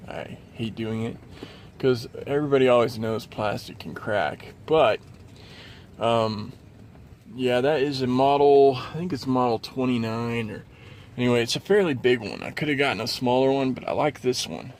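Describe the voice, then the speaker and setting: A man's voice: medium pitch, moderate pace, darkish tone, slight background noise. A man hosting a casual, unscripted DIY car install walkthrough, explaining informally and in a rambling way. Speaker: DIY car video host. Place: in and around a car.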